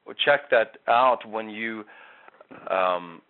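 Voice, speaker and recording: A man's voice: lowish voice, takes it slowly. A man giving a one-on-one singing lesson, explaining step by step in a patient way. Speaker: voice coach. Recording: tinny call line, faint hiss.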